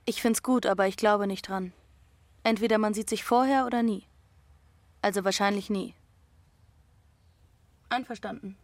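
A woman's voice: mid-range voice, fairly even intonation, moderate pace, long pauses, dry recording, lightly acted. A woman playing a dreamy, stubborn teenage runaway girl who tells her story intimately in short plain phrases in a radio drama. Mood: matter-of-fact. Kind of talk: first-person radio drama narration with dialogue.

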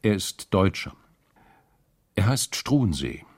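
A man narrating literary fiction as an audiobook reader, deliberate and dramatic in his storytelling. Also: low voice, big pitch swings, slightly rough. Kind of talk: literary narration with acted dialogue.

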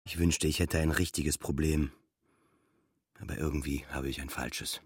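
A man's voice: extremely low pitch, little pitch movement, moderate pace. An actor playing a weary, self-doubting man in a radio drama inner monologue. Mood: resigned.

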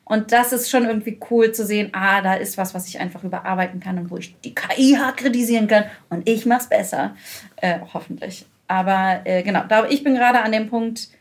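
A woman's voice: medium pitch, fairly quick. A woman talks casually and informally as a podcast co-host. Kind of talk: casual chat.

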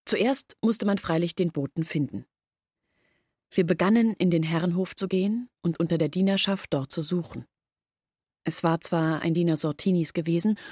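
Earnest village woman, literary radio drama, measured and candid, lower register, close dry recording. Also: mellow, breathy edge, no echo. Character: earnest village woman confessing her family's struggle.

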